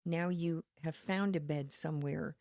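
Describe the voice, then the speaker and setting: A woman from Connecticut, a ministry leader, explaining her work in an earnest, faith-filled, measured way as a podcast guest. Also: low-pitched, fairly even intonation, darkish tone, no echo, studio quality. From Connecticut, USA. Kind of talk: podcast interview.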